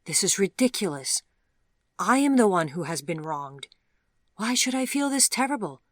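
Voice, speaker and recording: A woman's voice: a little high, measured pace, no room sound, pitched above the performer's own voice, natural voice. A woman voicing a timid young shop assistant who stammers nervously. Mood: flustered.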